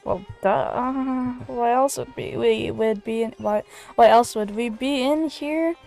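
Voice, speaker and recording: A woman's voice: a little high, slow, gravelly, darkish tone, faint music behind. A woman casually chatting and reacting through a game playthrough in a jokey, exclamatory way. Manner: jokey, exclamatory, laughs often. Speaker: gamer reacting to a story quest.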